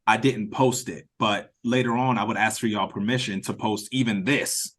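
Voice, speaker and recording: A man leading an online meeting in casual chat, speaking informally and haltingly as he thinks aloud. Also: fairly low pitch, fairly even intonation, fairly bright tone. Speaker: writer-director leading the meeting. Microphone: video call.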